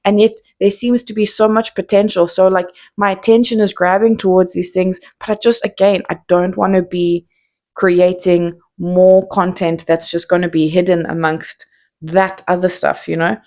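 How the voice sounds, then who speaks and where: A woman's voice: on the low side, little pitch movement, normal speed, dark tone. A woman in her thirties with a South African accent, musing candidly to camera in an unscripted vlog, with lots of ums and restarts. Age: 30s. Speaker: vlogger. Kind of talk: unscripted reflective monologue to camera.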